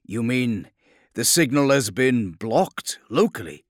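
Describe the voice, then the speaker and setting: A proud and savage commander performed by a male narrator, growling and imperious. The delivery is forceful and swings widely, as in a dramatic science fiction audiobook, with a dry, close sound. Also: medium pitch, animated, slow pace, dry recording, pitched above the performer's own voice. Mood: demanding.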